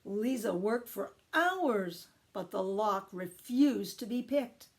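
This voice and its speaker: A woman reading a children's story aloud with expressive, deliberate emphasis. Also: medium pitch. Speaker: storytime reader, teacher.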